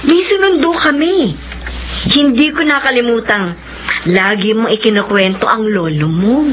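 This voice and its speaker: An actress playing a kindly, excitable Filipina canteen woman in a radio drama. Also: on the high side, a little slow, a little hiss or hum. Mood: excited, teasing.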